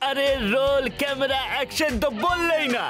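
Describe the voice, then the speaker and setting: A man's voice: extremely high pitch, fairly even intonation, moderate pace, over music. A man voicing a vain, bossy talking monkey in a cartoon, with a haughty, theatrical delivery. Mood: haughty, exasperated. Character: vain, demanding talking monkey who wants film stardom. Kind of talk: cartoon dub acting.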